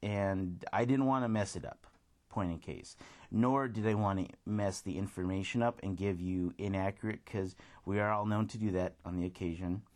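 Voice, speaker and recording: A man hosting his own music radio show, talking casually and rambling as he thinks aloud, with restarts and shout-outs to his listeners. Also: deep voice, steady tone, moderate pace. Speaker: podcast host.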